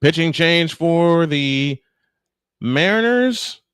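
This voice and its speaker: A man's voice: a little high, lively intonation, drawn-out words, fairly bright tone, clean tone, no echo, clean studio sound. A man doing live baseball play-by-play, mixing commentary with casual banter as host.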